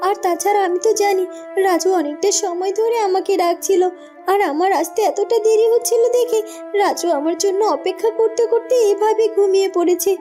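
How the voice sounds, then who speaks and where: A woman's voice: very high voice, steady tone, fairly quick, warm tone, soft background music. A woman voicing a sweet, devoted young mermaid, tender and earnest, in a melodramatic cartoon fairy tale. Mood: pondering.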